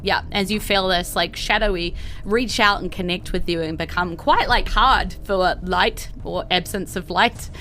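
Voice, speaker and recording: Bright-voiced woman running a tabletop game. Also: lowish voice, unhurried, soft background music, low-quality recording. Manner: casual, chatty, giggly. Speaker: game master. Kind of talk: improvised narration of a tabletop game.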